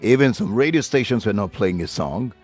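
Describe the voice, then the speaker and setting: A man's voice: medium pitch, expressive, fairly quick, a little mellow, breathy edge, faint music behind. A male radio co-host talking in an emphatic, heartfelt way, with many fillers. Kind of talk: casual radio talk.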